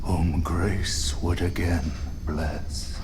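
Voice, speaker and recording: An actor playing a solemn, portentous narrator of a dark fantasy legend, in a theatrical game prologue with grave, dramatic delivery. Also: male voice, low voice, fairly even intonation, extremely slow, background noise. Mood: hushed, foreboding. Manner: grave, dramatic, swelling from breathy whisper to proclamation. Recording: loud music under the voice. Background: loud music.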